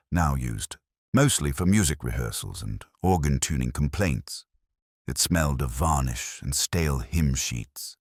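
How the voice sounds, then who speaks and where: A man's voice: very deep voice, dry recording, studio quality. A man reading scripted narration for a detective mystery story.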